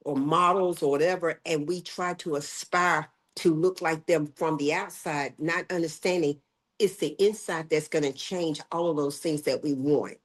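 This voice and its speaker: An older woman, a health educator, speaking emphatically as an interview guest. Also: low voice. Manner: emphatic, acts out quoted voices. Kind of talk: conversational interview.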